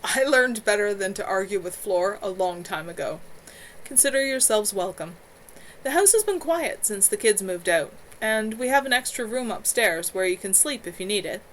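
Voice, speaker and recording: A woman's voice: medium pitch, lively intonation, moderate pace, a little hiss or hum, lightly acted, rough recording. A woman voicing a wry, easygoing middle-aged man with a dry sense of humor.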